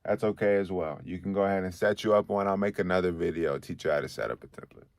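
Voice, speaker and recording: Deep-voiced man explaining studio recording. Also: fast, creaky, no room sound. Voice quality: deep, bassy voice. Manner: relaxed, conversational, frequent "okay?" check-ins. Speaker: recording engineer teaching. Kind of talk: casual tutorial.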